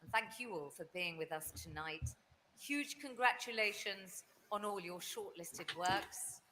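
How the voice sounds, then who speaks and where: A woman's voice: on the low side, expressive, measured pace, pushed and bright. A woman hosts a live prize ceremony, reading out the shortlist in an upbeat, presenter-like way. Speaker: ceremony host. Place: event venue. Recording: noisy venue stream.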